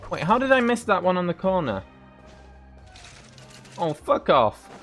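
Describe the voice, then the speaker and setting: A man's voice: sits high, sing-song, a little slow, fairly bright tone. A man giving casual gameplay commentary as a streamer, musing aloud in an offhand way. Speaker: game streamer. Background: game music.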